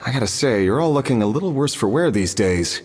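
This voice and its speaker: A man's voice: medium pitch, lively intonation, fairly quick, a little mellow, husky. A man voicing a mild, easygoing diner in a video game, polite and a little awkward. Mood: bemused. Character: mild, easygoing male restaurant customer, bemused and awkward. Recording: long reverb with music behind.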